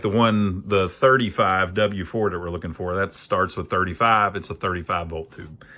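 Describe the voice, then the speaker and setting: A man's voice: lowish voice, sharp tone. A man narrating a hands-on repair video in a casual, folksy way, thinking aloud as he works. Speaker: host of an amateur electronics repair channel.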